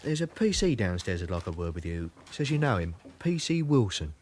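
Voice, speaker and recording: Lively British detective constable. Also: male voice, low-pitched, a little fast. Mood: breezy, teasing. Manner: breezy, joking. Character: chatty, teasing male detective constable, easygoing colleague. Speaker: actor in a radio drama. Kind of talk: British radio drama acting.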